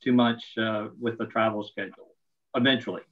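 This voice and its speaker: Halting older man on a dull line. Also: lowish voice, fairly even intonation. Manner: halting, many fillers. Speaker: volunteer. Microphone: video call. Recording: dull lo-fi line with faint noise.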